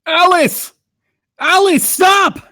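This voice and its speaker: A man's voice: very high-pitched, drawn-out words, bright, forceful tone, no room sound, studio quality, exaggerated voice. A man playing a troubled drug user on the run from his nightmares, in a screenplay table read. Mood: desperate pleading. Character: troubled, drug-seeking male Rabbit fleeing a nightmare.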